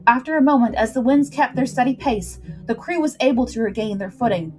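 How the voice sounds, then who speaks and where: Fairly high-voiced woman narrating an adventure. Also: a little fast, darkish tone, faint music behind. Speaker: storyteller, livestream host. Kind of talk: dramatic scripted narration of an adventure story.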